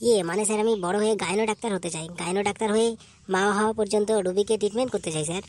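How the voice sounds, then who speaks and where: A woman's voice: mid-range voice, steady tone, very fast, darkish tone, lightly acted. A woman voicing a cheeky, earnest schoolchild in a comic cartoon, reciting answers eagerly.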